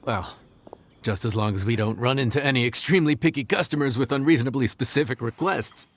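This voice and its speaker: A man's voice: medium pitch, fast, slight background noise, lightly acted. A man voicing a friendly, encouraging, chatty restaurant worker in an anime game. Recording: music behind the voice.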